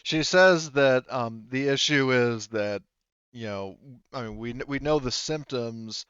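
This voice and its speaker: A man acting as game master in a tabletop roleplaying game, explaining things in a halting, improvised way. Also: medium pitch, fairly bright tone, clear, resonant tone, dry recording, highs cut off.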